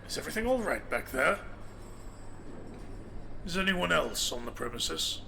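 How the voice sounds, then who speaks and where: Man playing a gravelly, wry marshal. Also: fairly high pitch, sing-song, normal speed, pushed and bright, slight background noise, full character voice. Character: male lawman marshal, wry, quietly threatening. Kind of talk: noir audio drama acting.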